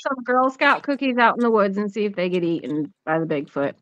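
A woman's voice: mid-range voice, lively intonation, brisk, fairly bright tone, dry recording, muffled recording. A woman chatting as a podcast guest in a playful, teasing way. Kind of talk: casual chat.

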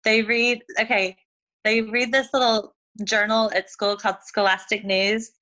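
Bright-voiced chatty woman. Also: fairly high pitch, little pitch movement, measured pace. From Oklahoma. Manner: chatty, many "like" fillers, laughs often. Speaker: guest. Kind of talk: casual chat.

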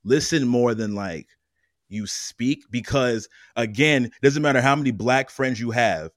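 A man's voice: medium pitch, measured pace, no echo, studio quality. A man in a casual podcast discussion, making his point steadily and with emphasis. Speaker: podcast guest.

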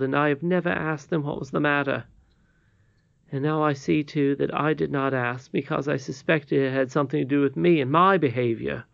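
A man voicing an earnest, contrite and excitable boy in a storybook, speaking with polite emphasis. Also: on the high side, vocal fry, dry recording, muffled recording, pitched above the performer's own voice. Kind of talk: storybook character reading.

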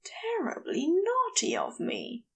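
A woman voicing a prim, polite, flustered male angel in an audiobook, with breathy, halting speech. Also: very high voice, huge pitch swings, a bit bright, whispered, pitched above the performer's own voice, full character voice. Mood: shy, pleased.

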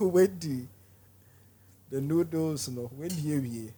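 Relaxed man co-hosting a podcast. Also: on the high side, slow pace, breathy edge. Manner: relaxed, laughs easily, speaks softly. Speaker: podcast co-host. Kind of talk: casual podcast chat. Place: restaurant.

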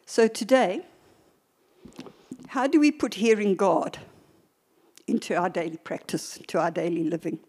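An older woman preacher teaching a church sermon in a deliberate, emphatic way, with short pauses and repeated phrases. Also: mid-range voice, lively intonation, darkish tone, breathy edge.

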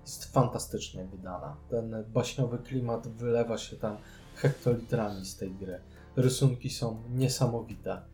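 A man's voice: lowish voice, unhurried, darkish tone, faint music behind. A man chatting casually about board games as a podcast co-host. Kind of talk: casual board game discussion.

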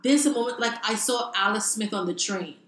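A young woman from the Bahamas, a guest in a casual interview, talking in an animated, laughing way. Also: fairly high pitch, a little fast. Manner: animated, laughing, lively storytelling. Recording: roomy echo.

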